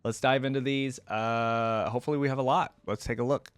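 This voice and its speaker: A man hosting a casual reaction, thinking out loud in a conversational way and reading trivia aloud. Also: medium pitch, steady tone, a little fast, clean tone, no room sound. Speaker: host reacting to game lore and voice lines.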